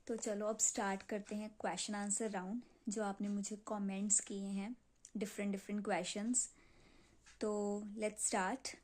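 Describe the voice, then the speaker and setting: A woman's voice: mid-range voice, little pitch movement, unhurried, a little mellow. A young woman with a North Indian accent chats casually to her subscribers in an informal way, repeating phrases for emphasis. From Punjab, India. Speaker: video creator selling clay. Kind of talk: casual chat to subscribers, Hindi mixed with English.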